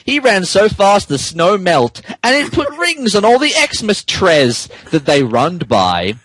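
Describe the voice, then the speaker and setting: Husky, slow-paced man reading comically. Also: high voice, big pitch swings, fairly bright tone, no room sound, low-quality recording. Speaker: podcast co-host narrating a story. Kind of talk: comic dramatic reading of fan fiction.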